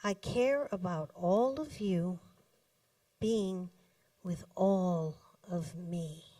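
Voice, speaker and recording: A woman reading her own poems live in a cafe, with deliberate, dramatic phrasing, pauses and emphatic stresses. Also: on the low side, mellow. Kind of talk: live poetry reading to an audience. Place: indoors in a cafe. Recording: roomy echo, distant microphone.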